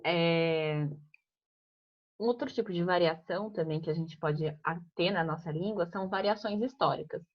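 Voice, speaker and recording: A young woman talks her class through a grammar lesson in a relaxed, chatty way, tagging her points with "né?". Her voice sits a little low, and the recording sounds muffled and lo-fi. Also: normal speed. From Piracicaba, São Paulo state, Brazil. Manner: conversational, frequent "né?" tags and restarts. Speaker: teacher. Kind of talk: informal online grammar class. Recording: muffled lo-fi audio.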